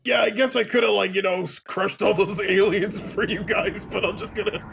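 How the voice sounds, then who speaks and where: High-pitched, creaky man joking over a game. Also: fairly even intonation, moderate pace, a bit bright, soft background music. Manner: joking, mock-quoting. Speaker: let's play commentator. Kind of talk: casual joking game commentary.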